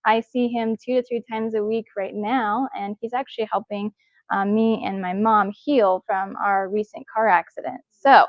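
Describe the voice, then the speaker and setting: A woman's voice: mid-range voice, fairly even intonation, normal speed, no echo, lo-fi sound. A woman hosting a live wellness event, introducing guests with enthusiasm and plenty of fillers. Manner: enthusiastic, cheering, frequent fillers. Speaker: event host. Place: indoor event space.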